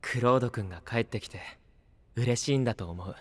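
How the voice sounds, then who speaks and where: An actor playing a gentle, courteous young companion and ceremony officiant in an anime-style voice drama. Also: male voice, mid-range voice, brisk, in a large hall, lightly acted. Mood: gentle, supportive. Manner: soft-spoken, polite, formal when officiating.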